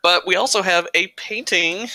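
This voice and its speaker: A man's voice: high voice, normal speed, gravelly, sharp tone, poor audio. A man chatting casually as the artist on a live stream. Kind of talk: casual chat.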